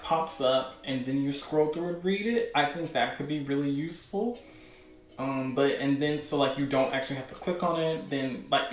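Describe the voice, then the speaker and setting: A man hosting a show with casual, unscripted commentary, rambling as he thinks out loud. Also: medium pitch. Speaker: host of a webcomic news show. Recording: roomy, echoing sound.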